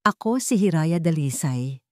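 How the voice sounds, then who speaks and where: A woman's voice: low voice, lively intonation, a little slow, dry recording, studio quality. A Filipino woman voicing a wronged, resolute young heiress in a dramatic story, with firm, emphatic declarations. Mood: cold, resolute. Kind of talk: dramatic storybook character reading.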